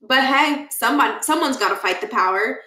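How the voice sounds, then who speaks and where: Bright, breezy, confident schoolgirl voiced by a woman. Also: high-pitched, expressive, normal speed, echoey room, voice pushed up. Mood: upbeat.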